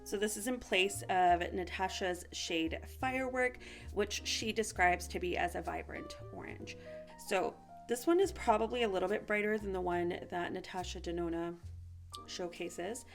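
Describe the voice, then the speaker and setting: A woman's voice: mid-range voice, moderate pace, soft background music. A woman chatting casually and informally to viewers as a beauty vlogger reviewing products, sounding a little sick and sniffly.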